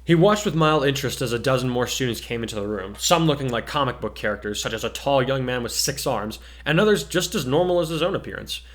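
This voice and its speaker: Man narrating a story, mid-pitched. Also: lively intonation, quick pace, hardly any pauses. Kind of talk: dramatic story reading, scripted narration.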